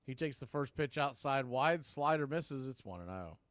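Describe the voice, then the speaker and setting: A man's voice: mid-range voice, lively intonation, normal speed, fairly bright tone, dry recording. A man doing live baseball play-by-play in clipped phrases with conversational asides. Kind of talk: live sports commentary.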